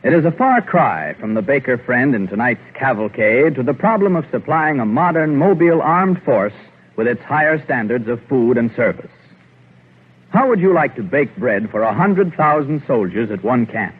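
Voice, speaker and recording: An American male announcer reads formal sponsor copy with a very animated, rising and falling pitch. His voice is husky, and the sound is a narrow, muffled forties radio recording. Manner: formal, measured announcer delivery. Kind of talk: scripted 1940s radio sponsor narration.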